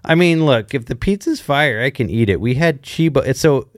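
A man in an easy, mid-pitched voice, co-hosting a casual podcast. He rambles, restarts his sentences and slips jokes into the flow. The sound is dry and close, with no room echo. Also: animated, normal speed. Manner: rambling, self-interrupting, jokey. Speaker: podcast co-host. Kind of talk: casual chat.

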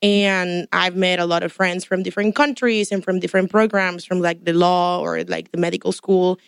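A woman's voice: fairly low pitch, little pitch movement, normal speed, creaky, clean tone, no echo, studio quality. A young woman from Mexico, chatting on a podcast as a guest in a chatty, detailed, upbeat way. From Tampico, Mexico. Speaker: guest, MBA student. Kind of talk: casual podcast chat.

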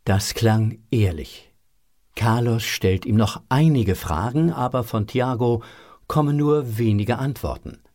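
A man narrating a scripted children's audio drama in a measured, suspenseful way. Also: mid-range voice, darkish tone.

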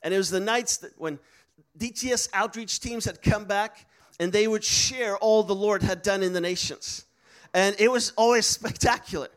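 A man's voice: sits high, normal speed, a bit bright, poor audio. A young man giving an upbeat, encouraging talk and calling out to a live audience at a missions school. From Netherlands. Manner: upbeat, encouraging, calls out to the crowd.

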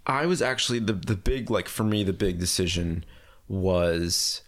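A man's voice: sits low, unhurried. A young man, an artist born in Puerto Rico, answering interview questions casually, rambling with lots of likes and you knows. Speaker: artist guest.

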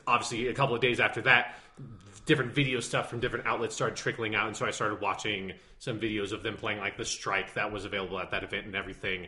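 Animated, playfully sarcastic male podcaster. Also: mid-range voice. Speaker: podcast co-host. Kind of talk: casual podcast chat.

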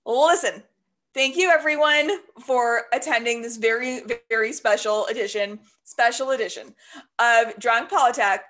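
A woman with a high voice co-hosts a loose, boozy chat. She banters, swears and rambles, sounding worn out and up well past her bedtime. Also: normal speed, a bit bright. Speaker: podcast co-host. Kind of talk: casual drunk chat.

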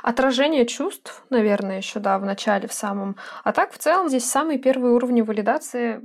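A woman's voice: on the high side, fairly quick, faint music behind. A woman co-hosting a podcast, explaining ideas in a conversational way and acting out short examples. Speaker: podcast co-host.

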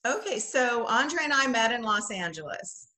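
A woman from the Bahamas shares personal stories as a podcast guest. Her voice is bright and a little high, and the room sounds noticeably echoey. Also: sing-song, moderate pace. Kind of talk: conversational personal storytelling. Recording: roomy, echoing sound.

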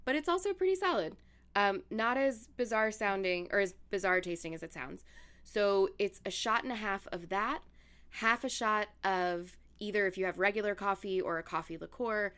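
A young woman with a husky, worn-out voice co-hosts a podcast. She chats loosely and laughs easily. She drifts into telling a story at a relaxed conversational pace. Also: normal speed. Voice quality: deep raspy voice from yelling. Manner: chatty, laughs while talking. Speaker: podcast co-host. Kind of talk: casual chat and storytelling.

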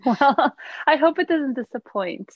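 A woman from Poland, a dancer and researcher, talking as a guest in a casual podcast interview, reflective and pausing often with soft laughs. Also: sits high, lively intonation, no echo, dull audio.

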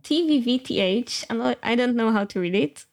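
A woman's voice: on the high side, lively intonation, moderate pace, vocal fry, background noise. A woman co-hosting a casual podcast, playful and teasing, often chiming in with agreement. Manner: playful, teasing, lots of mm-hmms. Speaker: podcast co-host.

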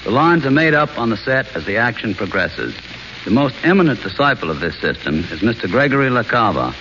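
A man guesting as an author on a radio show, speaking in measured, earnest prepared remarks. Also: fairly low pitch, fairly bright tone. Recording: dull old radio broadcast with faint hiss.

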